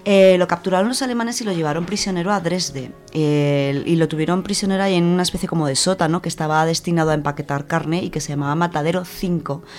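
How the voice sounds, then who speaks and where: A woman's voice: lowish voice, fast, few pauses, a little mellow, soft background music. A woman with an accent from Spain gives an informal radio talk in a conversational way, repeating words as she goes. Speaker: radio book-segment contributor. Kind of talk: informal spoken presentation.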